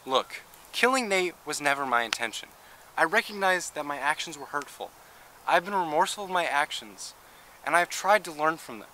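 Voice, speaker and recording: A man's voice: fairly high pitch, lively intonation, moderate pace, sharp tone, low-quality recording. A young man in his late teens playing a snarky, defensive hiker in an amateur comedy short. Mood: earnest, deadpan. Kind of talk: amateur comedy sketch acting. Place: outdoors on a woodland trail. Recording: outdoors with faint ambient noise.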